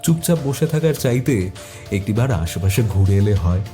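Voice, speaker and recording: A man's voice: fairly low pitch, lively intonation, normal speed, mellow, soft background music. A man reading a scripted detective story as narrator, also speaking the detective's questions.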